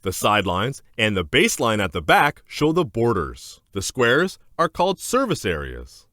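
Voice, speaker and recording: A man narrating a children's educational book aloud in a scripted, clear and deliberate instructional manner. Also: medium pitch, huge pitch swings, sharp tone, no echo, studio quality.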